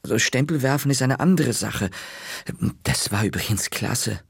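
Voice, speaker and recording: A man's voice: medium pitch, lively intonation, moderate pace, gravelly, breathy edge, no room sound, studio quality, full character voice. A man voicing a nervous, eager schoolboy who stammers, in a read-aloud storybook. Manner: stammers, pleading then eager.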